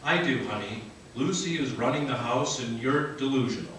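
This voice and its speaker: A man's voice: mid-range voice, normal speed, a bit bright, lightly acted. Man as an exasperated husband in an echoey theater. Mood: exasperated, firm. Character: exasperated, scheming father and husband. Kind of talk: stage radio comedy acting. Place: live radio theater stage. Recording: echoey theater, far mic, noisy.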